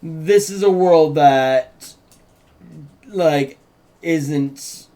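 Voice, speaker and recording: A man's voice: fairly high pitch, drawn-out words, warm tone. A man co-hosting an unscripted writers' chat, interjecting and restarting his sentences as he insists on his points. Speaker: co-host and writer introducing a script read. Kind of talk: casual unscripted discussion.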